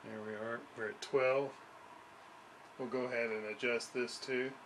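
A man with a medium-pitched voice talks through a hands-on demonstration in a casual way. He pauses and thinks aloud while working. The recording has clear noise behind him, picked up by a camera microphone in a small home workshop. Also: steady tone, fairly bright tone, low-quality recording. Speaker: radio restoration hobbyist presenter.

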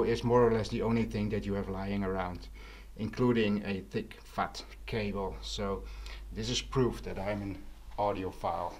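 A man talking casually as a vlog host, explaining things in a musing, unhurried manner while filming indoors. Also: mid-range voice. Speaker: audio vlogger, host. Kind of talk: casual vlog talk over handheld footage. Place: living room with carpet and couch, indoors.

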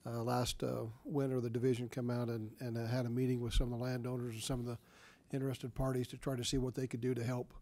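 A man reports to a board in a meeting hall, his delivery halting and full of uhs and restarts. His voice is flat in pitch and mellow in tone. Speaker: regional advisory council chair.

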